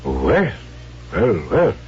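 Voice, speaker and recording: A smooth-talking con man posing as an English gentleman. His stagey English accent is mannered and courtly, with big swings in pitch. It sounds like a dull old radio broadcast. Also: huge pitch swings, extremely slow, slight background noise, heavily acted. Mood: quietly menacing. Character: suave male swindler posing as an English business agent. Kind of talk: 1970s radio drama acting. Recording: band-limited vintage radio broadcast with music.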